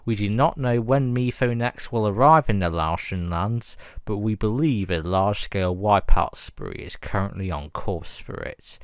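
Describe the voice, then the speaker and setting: A man reading a scripted emergency broadcast announcement in a formal, measured and grave manner. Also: lowish voice, clear, resonant tone, dry recording, clean studio sound.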